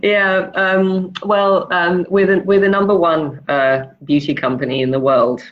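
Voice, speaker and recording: A woman from Denmark giving thoughtful interview answers, pausing often with ums and uhs. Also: on the low side, fairly even intonation, highs cut off. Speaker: interview guest, e-commerce manager.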